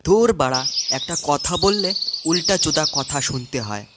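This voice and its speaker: Man acting a brash excitable cartoon guy, noisy track. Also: fairly high pitch, normal speed, close to an everyday voice. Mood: irritated, eager. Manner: complaining, bantering. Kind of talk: comic cartoon dub.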